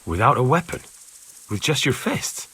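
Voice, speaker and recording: An actor playing an earnest, persistent young investigator in a video game, questioning in a measured way. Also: male voice, mid-range voice, very animated, fairly bright tone, slightly rough, full character voice. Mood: probing. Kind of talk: video game dialogue acting.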